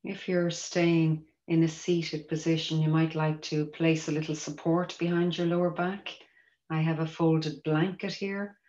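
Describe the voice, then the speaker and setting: A woman teaching mindfulness, guiding a meditation in a gentle, measured, inviting manner. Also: deep voice, flat delivery. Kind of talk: guided meditation instruction. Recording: roomy echo, dull muffled sound.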